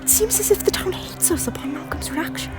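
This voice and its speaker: A woman's voice: high voice, a little fast, over loud music, lightly acted. A woman voicing an anxious young Scottish prince with a worried, apologetic manner. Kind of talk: fan audio drama acting.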